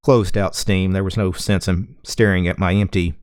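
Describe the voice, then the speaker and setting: A relaxed man with a mild Southern accent hosts a livestream, talking slowly and saying "yeah" a lot. His voice sits low, and the sound is dry and close with almost no room echo. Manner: laid-back, drawn-out, frequent "yeah"s. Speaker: livestream host. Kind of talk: casual chat with a caller. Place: small home room full of computer gear.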